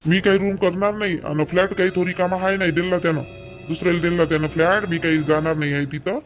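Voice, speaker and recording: A man plays a scolding young village husband in a homemade cartoon. His voice sits high, and he nags bluntly and repetitively in a rural Vidarbha Marathi accent. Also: fairly even intonation, quick pace, faint music behind, lightly acted. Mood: dismissive. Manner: blunt, nagging, repetitive complaining. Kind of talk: amateur cartoon dialogue in rural dialect.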